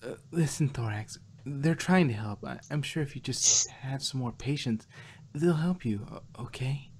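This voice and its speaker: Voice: medium pitch, unhurried, breathy edge. A man voicing a concerned, reasonable female cartoon pony princess in a fan fiction reading, with hesitant, careful delivery. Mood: nervous, placating.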